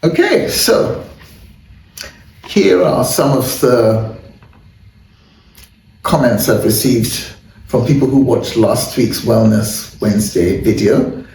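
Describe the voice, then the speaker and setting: A man hosting a casual wellness chat, candid and self-deprecating, with a hoarse voice and frequent throat clearing. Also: mid-range voice, lively intonation, takes it slowly, long pauses, a little mellow. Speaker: host. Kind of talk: casual chat and viewer shout-outs. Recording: roomy echo, far from mic, faint noise.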